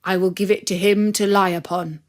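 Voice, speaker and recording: Storybook farmer, voiced by a woman, male character, blunt, forceful, bossy, emphatic. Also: mid-range voice, flat delivery, normal speed, lightly acted. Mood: commanding. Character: blunt, emphatic farmer man, master of an old dog. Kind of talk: fairy-tale storybook reading.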